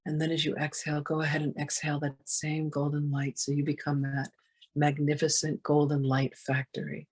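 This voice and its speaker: A meditation guide with a soft, breathy voice, speaking gently and soothingly with long, unhurried pauses. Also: medium pitch, completely flat delivery.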